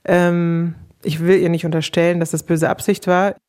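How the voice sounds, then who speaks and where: A woman's voice: lowish voice, flat delivery, moderate pace, darkish tone, smooth. A woman recounting a personal experience in a casual, candid, self-reflective and colloquial way. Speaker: interviewed coaching client telling her experience.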